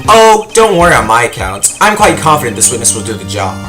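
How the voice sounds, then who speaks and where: A man's voice: medium pitch, very animated, brisk, lightly acted. A man playing a confident young prosecutor with a polished, teasing manner in a video game fandub, with music behind. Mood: smug, assured. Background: prominent music.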